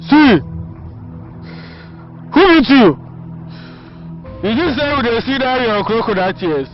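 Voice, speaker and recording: A man's voice: extremely high pitch, expressive, quick pace. A man playing a scornful, hot-headed gang henchman in a film drama, taunting and arguing with a Nigerian accent. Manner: taunting, argumentative. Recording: muffled film audio with background music.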